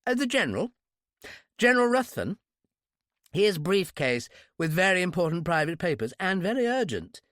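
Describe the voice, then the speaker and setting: A man's voice: sits high, very animated, moderate pace, fairly bright tone, no room sound, clean studio sound, voice pushed up. A man voicing a fussy, over-polite English private secretary with an exaggerated upper-class accent, in an audiobook. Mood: airy, hesitant. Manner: pompous, airy, over-polite. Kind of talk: audiobook character voice.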